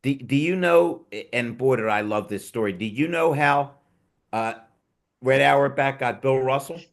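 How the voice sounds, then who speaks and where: A man's voice: mid-range voice, unhurried, vocal fry. A man hosting a casual panel chat over a remote call, telling stories with enthusiasm and many restarts. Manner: rambling, enthusiastic storyteller with restarts. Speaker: podcast host.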